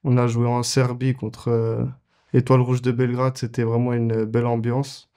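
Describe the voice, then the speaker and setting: A man's voice: mid-range voice, monotone, normal speed, dark tone, smooth. A man in his twenties, a goalkeeper answering interview questions casually, with many fillers and restarts. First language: French. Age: 20s.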